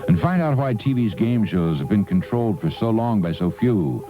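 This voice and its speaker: A man's voice: fairly low pitch, expressive, normal speed. A man reading a scripted magazine promo as a commercial announcer. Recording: tinny narrow-band TV ad, loud music.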